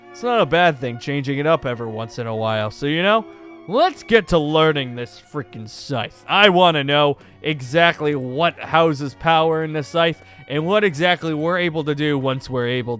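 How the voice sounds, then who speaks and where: A man's voice: on the high side, expressive, moderate pace, a bit bright, soft background music. A man playing a laid-back, practical gunslinger in a Minecraft roleplay, talking in a casual, matter-of-fact way. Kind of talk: improvised Minecraft fantasy roleplay acting.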